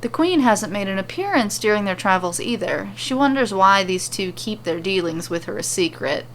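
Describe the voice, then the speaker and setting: A woman's voice: medium pitch, lively intonation, moderate pace, fairly bright tone. A woman storyteller reading scripted fantasy narration, with ambient sound effects behind her. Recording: faint background noise and ambience.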